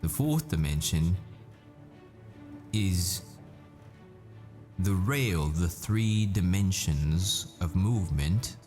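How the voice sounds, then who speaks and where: A man plays a patient, absorbed male math professor in an audio roleplay. His voice is very deep and dark, and he teaches slowly, posing questions and leaving deliberate pauses. Soft music sits behind him. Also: animated, full character voice. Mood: patient, explaining. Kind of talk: scripted roleplay, classroom lecture.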